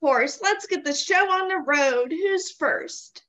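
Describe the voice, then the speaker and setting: A woman's voice: extremely high pitch, expressive, slow pace, a bit bright, heavily acted. A woman playing a late, busy assistant director who talks in a syrupy, condescending Southern style. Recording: dull lo-fi sound.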